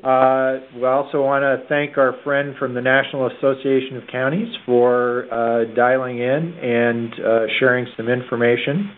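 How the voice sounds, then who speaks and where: The sound is the narrow band of a telephone call. A man with a medium-pitched voice moderates the meeting informally, with halting delivery. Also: fairly even intonation. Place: conference call. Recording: muffled telephone line.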